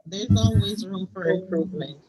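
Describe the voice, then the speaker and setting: A woman's voice: fairly low pitch, moderate pace. A woman giving casual answers on a couples panel, hesitant and repeating herself. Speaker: panel participant, wife. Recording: muffled event audio with loud music.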